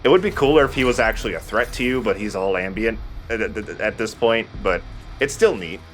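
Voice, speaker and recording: A man's voice: fairly low pitch, animated, brisk, a bit bright, slight background noise. A man hosting a let's play, giving casual commentary on a video game.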